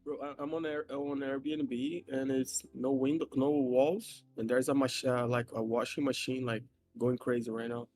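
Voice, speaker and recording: Male guest on a voice call, mid-pitched, halting phrasing, thin suppressed audio, faint music behind. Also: steady tone. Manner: halting, restarts words, searches for phrasing. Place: small rental apartment with a washing machine running. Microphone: laptop mic over voice chat. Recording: quiet voice chat audio with heavy noise suppression.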